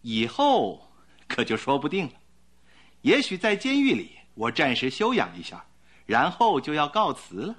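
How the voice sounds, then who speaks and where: An actor playing a suave young gentleman thief in a radio drama, cocky and playful, with theatrical delivery. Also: on the high side, wildly swooping pitch, normal speed, husky, exaggerated voice. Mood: jaunty, amused. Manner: theatrical, jaunty. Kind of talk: Mandarin radio drama acting.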